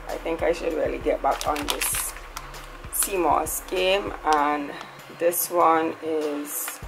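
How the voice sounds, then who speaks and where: Slow, low woman with fry. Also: low-quality recording. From Trinidad. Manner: chatty, tags lines with "right?". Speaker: lifestyle vlogger. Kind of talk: casual product haul.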